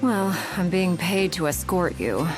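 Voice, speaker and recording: A young ex-mercenary commander from a video game. Her voice is very low for a woman, with a cool, sardonic, bossy swagger. Music is clearly heard behind it. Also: expressive, rough recording. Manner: brisk, sardonic, casual bossiness.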